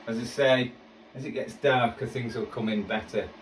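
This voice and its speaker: A man's voice: mid-range voice, fairly even intonation, moderate pace, noisy background. A man chatting casually as he tunes a shortwave radio, with music playing from the set. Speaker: shortwave radio hobbyist presenter. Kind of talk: casual chat while tuning a radio. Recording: roomy, distant mic, music behind.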